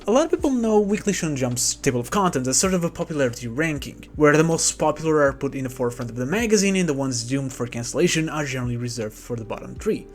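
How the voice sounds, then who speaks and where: A man hosting a scripted commentary video, talking with dry sarcastic jokes. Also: on the high side. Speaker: manga video essayist.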